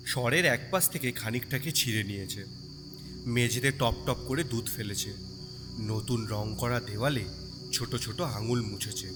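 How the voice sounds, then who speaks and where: A man tells a story in a scripted, expressive style. His medium-pitched voice swings widely in intonation. It carries a long reverb, and music with cricket sounds plays clearly behind him. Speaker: story narrator.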